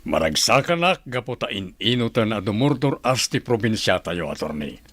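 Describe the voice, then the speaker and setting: A man acting an earnest, modest provincial governor and fond father in a radio drama, speaking in a measured, sincere way. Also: fairly low pitch, very animated, fairly bright tone.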